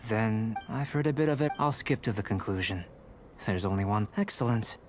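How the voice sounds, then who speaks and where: An actor playing a calm, curious young alchemist in a video game, speaking in a measured, analytical and articulate way. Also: male voice, fairly low pitch, lightly acted. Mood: calm, intrigued. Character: calm, curious young male alchemist and scholar, politely detached. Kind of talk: scripted video game dialogue acting. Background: game music.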